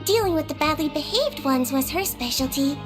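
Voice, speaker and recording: A woman voicing a small, gentle, slyly sweet girl nurse from a magical folk in a video game, patient and teasing. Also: high-pitched, expressive, fairly quick. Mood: gentle, cheerful. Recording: music behind the voice.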